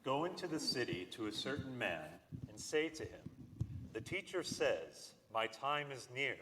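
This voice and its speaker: A man's voice: mid-range voice, sing-song, measured pace, background noise. A man giving a solemn dramatic scripture reading, speaking as Jesus, recorded in an echoey church. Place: large church during a service.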